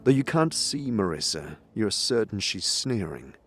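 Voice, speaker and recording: A man narrating an audio drama and voicing its protagonist in slow, even tones. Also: on the low side, slightly rough.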